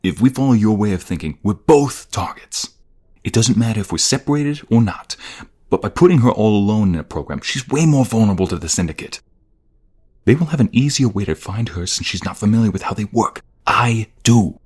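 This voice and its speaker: A man playing a protective ex-mobster in an audio roleplay drama, husky and breathy, defiant with others and tender with his fiancée. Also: lowish voice, lively intonation, brisk, a little mellow. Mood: angry, defiant. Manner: intense, protective.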